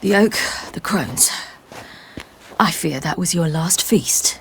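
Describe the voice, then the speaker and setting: A woman's voice: lowish voice, slow, creaky, slight background noise. Bold young warrior woman. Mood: cold, resolute. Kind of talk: dramatic video game acting.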